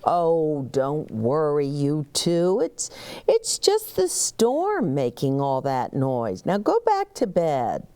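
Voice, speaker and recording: A woman voicing a gentle, reassuring mother in a children's storybook, patient and tender. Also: low-pitched, huge pitch swings, takes it slowly, smooth, exaggerated voice. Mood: soothing.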